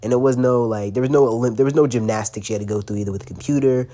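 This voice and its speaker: A man's voice: mid-range voice, racing, mellow. A young man with a cold hosts a casual solo podcast, sounding stuffy and congested, and talks in a loose, slangy way with plenty of swearing. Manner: loose and slangy, swearing, laughing now and then. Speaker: podcast host.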